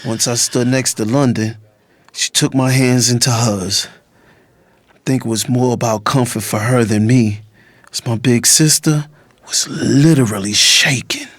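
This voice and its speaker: Actor as calm young man, casual phrasing. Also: medium pitch, measured pace, no echo, close to an everyday voice. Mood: reflective. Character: calm, self-sacrificing young man, loyal brother. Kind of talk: first-person dramatized audiobook narration.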